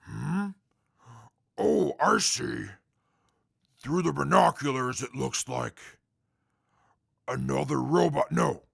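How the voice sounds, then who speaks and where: An actor playing a stern, gruff, hulking wasteland companion with a raspy growl and a slow, formal, commanding way of speaking in a radio drama. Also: male voice, medium pitch, exaggerated voice. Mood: measured, gruff. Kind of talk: post-apocalyptic radio drama.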